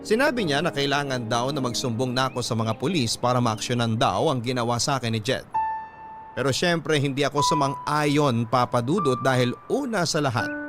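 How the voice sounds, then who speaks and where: A man's voice: mid-range voice, expressive, normal speed. A man narrating a scripted first-person story as a radio host reading a listener's letter. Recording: music bed behind the voice.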